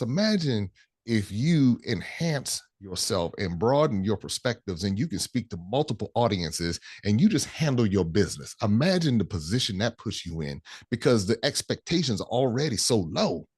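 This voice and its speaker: A man's voice: lowish voice, big pitch swings, normal speed, a little mellow, breathy edge, no room sound, clean studio sound. An American man in his forties, a travel guest speaking earnestly and emphatically in an interview. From United States. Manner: earnest, emphatic, repeats phrases for stress. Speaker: guest, travel tour organizer. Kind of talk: conversational interview.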